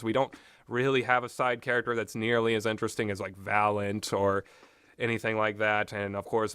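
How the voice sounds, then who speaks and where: A man giving informal, rambling opinionated commentary as a game reviewer, haltingly and often repeating himself mid-sentence. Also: medium pitch, fairly bright tone, dry recording, clean studio sound.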